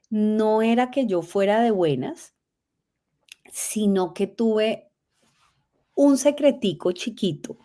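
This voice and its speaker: Middle-aged woman, Colombian accent, unhurried delivery, energetic and laughing, interview guest. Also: medium pitch. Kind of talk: conversational interview.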